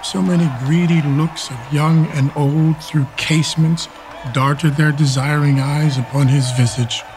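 Elderly loyal duke, stern, fiery, fairly high voice, measured verse delivery, radio play. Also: male voice, little pitch movement, noisy background. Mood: solemn, awed. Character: stern elderly English duke, proud, loyal, quick to fury. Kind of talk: Shakespeare radio play acting, archaic verse.

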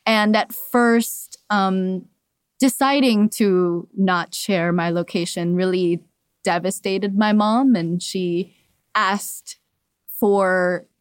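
A woman's voice: mid-range voice, steady tone, slow, clear, resonant tone, dry recording. A young adult woman from Cuba, raised in Miami, telling personal stories as a podcast guest, reflective and laughing often between thoughts. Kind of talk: personal storytelling in an interview.

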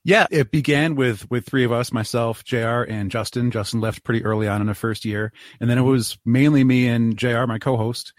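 A man being interviewed on a podcast, talking in a relaxed, chatty way and laughing easily. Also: mid-range voice, fairly quick, few pauses, no echo, studio quality. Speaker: podcast guest. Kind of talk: casual interview talk.

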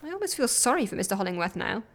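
A woman playing a groggy, reluctant, soft-hearted agency worker in an audio comedy, hesitant and understated. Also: medium pitch, sing-song, racing. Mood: sympathetic, wincing. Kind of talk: audio comedy fiction acting.

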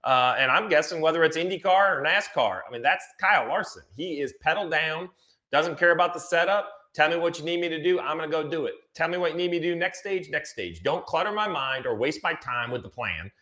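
Guy breaking down racing, bright punchy voice, really emphatic, repeats stuff to make the point. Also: fairly high pitch, fairly quick. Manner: emphatic, repeats phrases for stress. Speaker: motorsport analyst. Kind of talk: conversational sports analysis.

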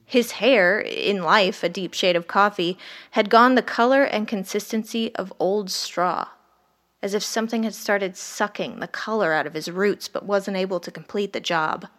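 A woman's voice: medium pitch, normal speed, fairly bright tone, close to an everyday voice. A woman playing a wry, haunted, sleepless ER doctor who narrates a horror audio drama in the first person. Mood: brooding, uneasy. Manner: wry, brooding, literary. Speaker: narrator and lead character.

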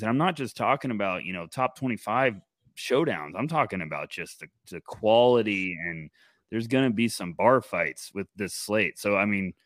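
A man co-hosting a casual sports podcast, talking in an energetic, animated way and rambling through restarts. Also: lowish voice, no room sound. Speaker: podcast co-host.

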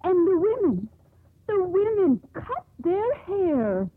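An actress playing a spirited, proud young sea captain's daughter in a theatrical 1950s radio drama style. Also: female voice, extremely high pitch, very animated, slow, exaggerated voice. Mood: chatty, excited. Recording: old radio broadcast, narrow band, noisy.

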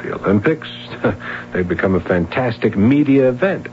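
An actor playing a probing, blunt yet courteous police detective sergeant with an American accent in a radio drama. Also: male voice, mid-range voice, lively intonation, moderate pace, darkish tone, close to an everyday voice. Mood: accusing, sardonic. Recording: dull, hissy, humming vintage radio broadcast.

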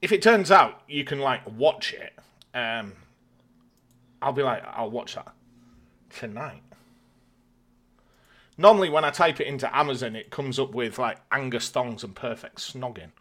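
A British man with a bright, forward voice and mid-range pitch hosts a casual podcast. He talks colloquially and jokily, as if bantering with a friend while working through listener questions. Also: animated, unhurried, pauses often. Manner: jokey, colloquial.

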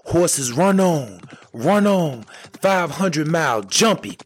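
A man's voice: on the high side, very animated, slow pace, slight background noise, voice pushed up. Man narrating as a devil's bold young daughter. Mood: urgent incantation. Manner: incantatory, decisive. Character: devil's bold, lovestruck daughter who works magic.

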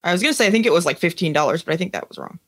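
A woman's voice: lowish voice, expressive, racing, a bit bright, no room sound. A woman co-hosting a casual pop culture chat in an easygoing, conversational way. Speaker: podcast co-host.

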